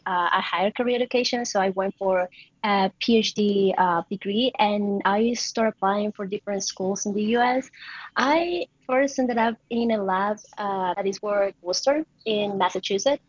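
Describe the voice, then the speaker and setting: A woman's voice: mid-range voice, no room sound, highs cut off. A young adult woman from Colombia, a native Spanish speaker with a Colombian accent, chatting casually as an interview guest. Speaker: interview guest, PhD student. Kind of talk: casual, conversational answers.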